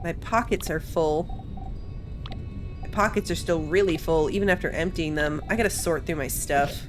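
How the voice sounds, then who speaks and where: A woman's voice: low-pitched, moderate pace. A woman giving casual let's play commentary, thinking aloud as she plays. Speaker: gaming video host. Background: game music.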